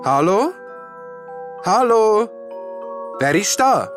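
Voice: mid-range voice, lively intonation, moderate pace, music in the background, voice pushed up, lightly acted. A storyteller voicing a young, brave but puzzled princess asking earnest questions.

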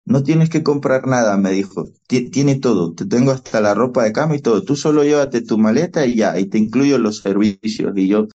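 A young Venezuelan man, a podcast guest, telling his story with animated, reenacted dialogue. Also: medium pitch, normal speed, hardly any pauses. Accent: Venezuelan Spanish. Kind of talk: storytelling interview. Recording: dull, lo-fi podcast audio.

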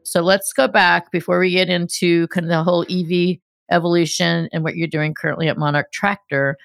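A woman hosting a podcast, reading a scripted introduction in a measured, announcer-like way and interviewing a guest. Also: on the low side, little pitch movement, gravelly, no echo. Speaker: podcast host.

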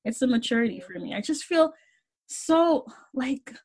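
A woman in her twenties co-hosting a podcast, relaxed and affirming in casual chat. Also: high voice, normal speed, breathy edge. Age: 20s. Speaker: podcast co-host.

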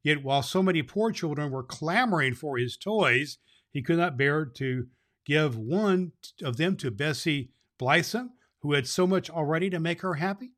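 A man's voice: fairly high pitch, lively intonation, moderate pace, a bit bright. A man in the role of Santa Claus, hosting and telling stories to children with affectionate, emphatic repeats. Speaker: host playing Santa Claus, reading to children. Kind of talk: children's storytelling and show hosting.

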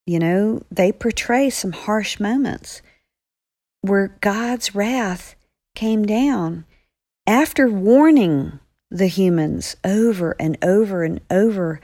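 A woman's voice: medium pitch, slow, dark tone, no room sound, clean studio sound. A woman author speaking as a guest, explaining earnestly to young listeners.

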